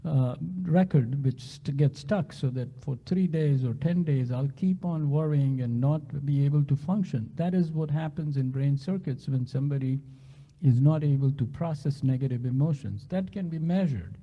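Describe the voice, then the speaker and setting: A man's voice: fairly high pitch, moderate pace, highs cut off. A man speaking as a physician researcher on a panel, explaining earnestly and often restarting his sentences. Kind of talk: panel discussion answer.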